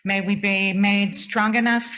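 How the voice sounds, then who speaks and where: A woman closing a church service with a gentle, calm blessing. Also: medium pitch, measured pace. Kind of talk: closing benediction. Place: church sanctuary.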